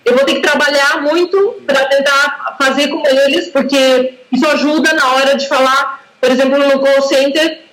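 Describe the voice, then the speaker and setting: A woman's voice: sits high, fairly even intonation, moderate pace, few pauses, fairly bright tone, slight background noise. A Brazilian woman with a Brazilian Portuguese accent, a language teacher explaining patiently in a casual tutoring chat over a video call. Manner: patient, explanatory, often affirms with "exato". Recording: roomy call audio.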